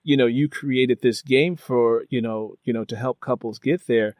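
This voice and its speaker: A man acts as interviewer in a conversational style. His phrasing is halting and reflective, circling back on itself. The sound is dry, with a middling pitch. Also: fairly even intonation, a little mellow, no echo. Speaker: interviewer, host. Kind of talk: conversational interview, thoughtful questions.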